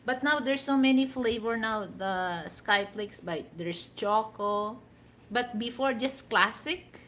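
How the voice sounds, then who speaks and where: A woman's voice: on the high side, slow pace, a bit bright, slight background noise. A Filipino woman hosting a livestream, chatting casually and rambling with many "you know" fillers. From Philippines. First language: a Philippine language other than Tagalog. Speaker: livestream host.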